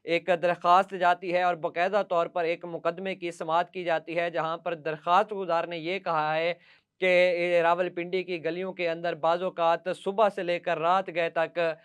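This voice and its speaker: A Pakistani-accented man delivers news commentary in a high, clear voice. He addresses viewers directly and leans on emphatic, repeated lists to press each point. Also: flat delivery, normal speed, a bit bright. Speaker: news presenter.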